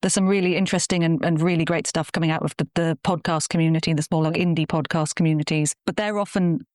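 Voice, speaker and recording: A woman's voice: low voice, fairly even intonation, quick pace, darkish tone, no echo, studio quality. A woman talking earnestly and giving her opinions as an interview guest who produces audio drama. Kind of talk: conversational interview.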